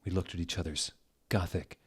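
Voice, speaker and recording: Low-voiced man reading deadpan. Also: a little fast, husky. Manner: deadpan, reads typos and punctuation aloud. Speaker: host reading fan fiction aloud. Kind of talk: mock-dramatic scripted narration.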